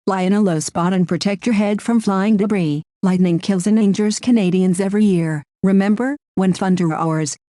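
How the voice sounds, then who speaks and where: Woman, mellow and dark tone, mid pitch, formal, measured, synthetic weather alert reading. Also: no room sound, studio quality. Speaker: emergency alert announcer. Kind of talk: scripted emergency weather alert.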